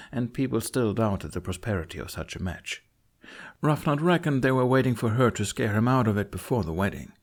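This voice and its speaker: A man's voice: on the low side, a little mellow. A man reading a fantasy audiobook as scripted narration.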